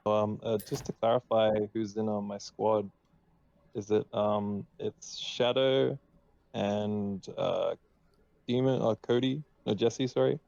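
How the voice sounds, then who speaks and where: A man's voice: on the low side, steady tone, slow, vocal fry, a little mellow, dry recording, lo-fi sound. A man chatting casually as a tabletop game player, agreeable and a little tentative. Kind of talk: casual chat.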